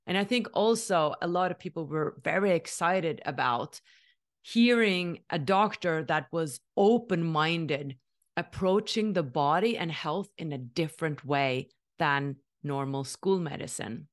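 Chatty woman from Norway, low voice. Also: unhurried. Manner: enthusiastic, chatty, many "like"s, laughs. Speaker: podcast host and interviewer. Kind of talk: casual podcast intro monologue.

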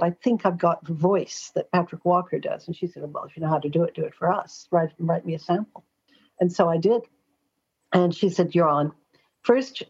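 A mature American woman with a husky voice that sits a little low. She talks as an interview guest, plain-spoken and anecdotal. The recording is a bit muffled and lo-fi. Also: darkish tone. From Los Angeles, United States. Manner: forthright, chatty, anecdotal. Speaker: astrologer and columnist, interview guest. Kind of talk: conversational interview storytelling. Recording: slightly dull, lo-fi audio.

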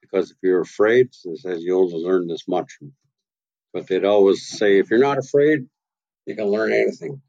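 A man in his sixties from Canada's Pacific coast speaks in a low, dark voice, unhurried and gentle as he passes on old teachings. The sound is dry and close, with a slightly muffled, lo-fi edge. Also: vocal fry, no room sound. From west coast of Vancouver Island, Canada. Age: 60s. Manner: slow, gentle, unhurried telling with soft chuckles. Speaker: guest, carver and elder. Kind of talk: reflective storytelling. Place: his home and carving workshop.

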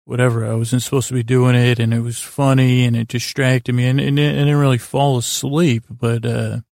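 Very creaky man rambling haltingly. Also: medium pitch, flat delivery, darkish tone, no room sound, clean studio sound. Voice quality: gravelly, creaky. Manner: meandering, halting, stammering, self-deprecating. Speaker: podcast host. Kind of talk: rambling bedtime monologue.

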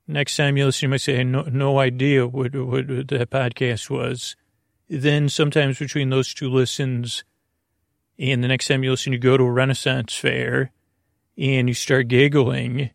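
A man hosting a bedtime podcast, rambling unscripted in a creaky, gravelly voice, halting, interrupting himself and apologizing as he meanders. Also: mid-range voice, no room sound, clean studio sound. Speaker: podcast host.

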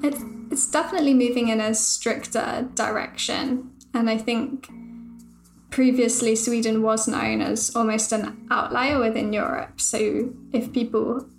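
A young adult woman, a journalist on a news podcast, explaining things in a measured, thoughtful way. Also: on the high side, fairly even intonation, gravelly, faint music behind. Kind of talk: podcast discussion.

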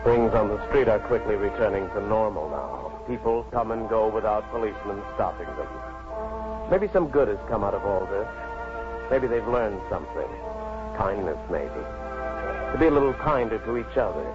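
An actor playing a sober, grave storyteller, narrating a 1950s radio drama in a deliberate way. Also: male voice, on the low side, animated, lightly acted. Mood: reflective. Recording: muffled radio recording, music behind.

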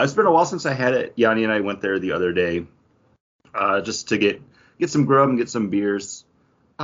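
A man's voice: medium pitch, vocal fry, a bit bright, slightly rough, highs cut off. A man co-hosting a podcast in casual, conversational talk. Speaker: podcast co-host.

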